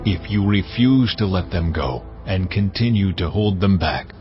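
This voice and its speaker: A deep solemn divine voice. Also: male voice, expressive. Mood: commanding. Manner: slow, measured, authoritative delivery. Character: God, a solemn commanding divine voice. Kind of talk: dramatized scripture reading. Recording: muffled audio under music.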